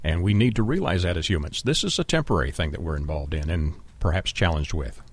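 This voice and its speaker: Low-voiced man hosting an interview. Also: lively intonation, fast, breathy edge, no room sound. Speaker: radio show host.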